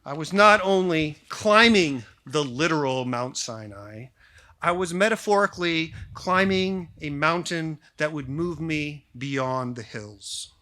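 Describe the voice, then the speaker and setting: A man in his forties with an American accent, delivering a prepared ceremonial speech in measured phrases with deliberate pauses. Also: a little high, expressive, bright, forceful tone. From grew up in Japan, then suburban Detroit, US. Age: 40s. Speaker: school faculty member giving a valedictory speech. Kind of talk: prepared formal speech to graduating class.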